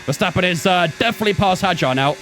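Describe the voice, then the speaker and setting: A man's voice: high voice, quick pace, a bit bright, soft background music. A man in his twenties streaming a racing game, giving chatty running commentary and talking to his chat. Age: 20s. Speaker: gaming streamer. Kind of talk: casual live-stream commentary while racing.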